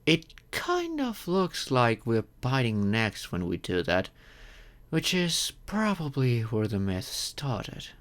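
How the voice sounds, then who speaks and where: A man playing a courteous, gentle, wealthy old vampire in an audio roleplay, speaking reassuringly and earnestly to the listener. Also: mid-range voice, wildly swooping pitch, exaggerated voice. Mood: patient, explaining. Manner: gentle, reassuring, unhurried with thoughtful pauses.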